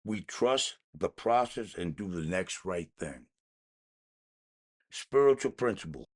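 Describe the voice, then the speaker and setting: A man reading a daily recovery meditation aloud in a measured, slightly halting way. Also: deep voice, expressive. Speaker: podcast reader.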